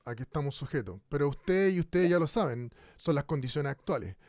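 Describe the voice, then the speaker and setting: A man's voice: fairly high pitch, expressive, fairly quick, a little mellow, no echo, close to an everyday voice. Man playing a dry-sounding Chilean radio host. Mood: businesslike, halting. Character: male radio host broadcasting live in a bleak future. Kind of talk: radio drama interview.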